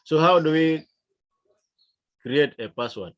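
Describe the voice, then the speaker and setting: Slow-paced South Sudanese man teaching. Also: fairly high pitch, fairly bright tone. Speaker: instructor. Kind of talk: digital literacy lesson, explaining to learners.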